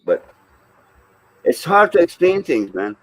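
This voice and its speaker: A man's voice: a little high, sing-song, slow, low-quality recording. A man speaking informally as a panelist in a religious discussion, explaining his views in plain conversational terms.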